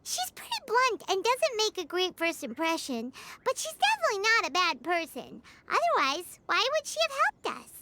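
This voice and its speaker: A woman voicing a small, excitable, childlike fairy companion in a video game, chatty and perky, with a squeaky voice. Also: normal speed, few pauses, noisy background, exaggerated voice. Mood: earnest. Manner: perky, refers to self in third person.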